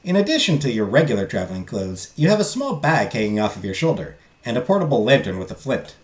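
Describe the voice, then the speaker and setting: A man streaming a visual novel, loudly reading the game text aloud and chatting with his viewers. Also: mid-range voice, big pitch swings, fast. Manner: loud, talks loudly. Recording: roomy echo, faint game music.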